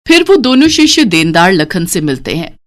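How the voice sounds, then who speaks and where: A woman's voice: fairly low pitch, very animated, normal speed. A woman storyteller reading scripted narration for a tale.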